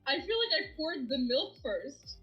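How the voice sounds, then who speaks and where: A woman from Bangladesh, a streamer guest chatting casually in an interview, animated and laughing often. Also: sits high, measured pace, lo-fi sound, faint music behind.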